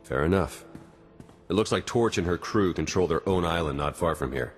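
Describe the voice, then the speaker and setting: Male slicer sidekick, low voice, informal, practical, eager, video game, music behind. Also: moderate pace, breathy edge. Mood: businesslike. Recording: loud music under the voice. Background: cinematic game music.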